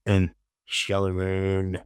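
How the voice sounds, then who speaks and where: A man gives a casual software tutorial in a low, gravelly voice. He talks slowly, in short muttered phrases that he often repeats to himself. The sound is dry and close to a plain voice-over. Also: monotone, dry recording. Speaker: tutorial host. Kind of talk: casual screen-recorded software tutorial narration.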